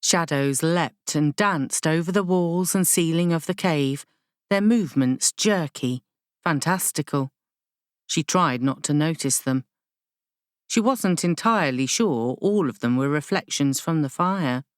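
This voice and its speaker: Slow, low-voiced woman narrating fiction. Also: clear, resonant tone, dry recording, clean studio sound. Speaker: audiobook narrator. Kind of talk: scripted literary fiction narration.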